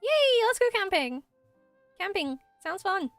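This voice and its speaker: A woman voicing an excitable, childlike small companion in a cartoonish, exclamatory game style. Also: very high-pitched, measured pace, pitched above the performer's own voice, heavily acted. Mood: excited. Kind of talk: cartoonish video game voice-over. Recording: music behind the voice.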